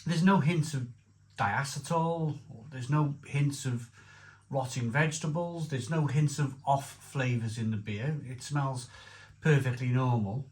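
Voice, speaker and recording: A man's voice: medium pitch, a little slow. A man from Wales, giving a chatty, rambling beer review to camera with frequent restarts and asides.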